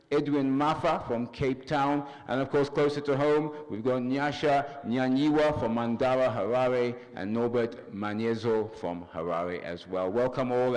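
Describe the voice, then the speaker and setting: Male TV host, upbeat announcer delivery, reverberant studio. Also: mid-range voice. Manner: upbeat, announcer-like reading of credits and shout-outs. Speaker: TV talk show host. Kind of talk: hosting a panel broadcast.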